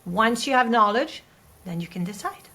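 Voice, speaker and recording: A woman's voice: fairly high pitch, lively intonation, moderate pace, pushed and bright. A woman in her late thirties with a French accent, speaking as a guest acupuncturist and educator in a casual interview, earnest and emphatic, often closing points with a tag question. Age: late 30s.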